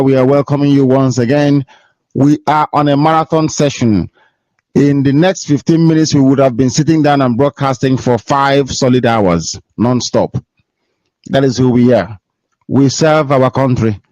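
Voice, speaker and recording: A man's voice: medium pitch, a little mellow, no echo. A man hosting a call-in radio show, formal and repeating the station name between calls. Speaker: radio host taking calls. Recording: dry, dull lo-fi sound.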